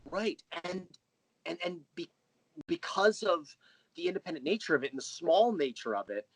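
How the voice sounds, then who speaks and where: A man's voice: fairly high pitch, very animated, moderate pace, fairly bright tone, breathy edge, rough recording. A man speaking as a writer and interview guest, chatting casually and explaining at length with enthusiasm. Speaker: interview guest, audio drama writer and creator.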